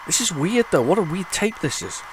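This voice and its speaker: A man hosting a retro gaming video, talking casually while loading old tapes, with a head cold and a rambling, restart-filled way of talking that jumps into excited bursts. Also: high-pitched, fairly quick, background noise. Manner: rambling, chatty, lots of restarts and sudden excited outbursts. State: has a head cold, sniffly.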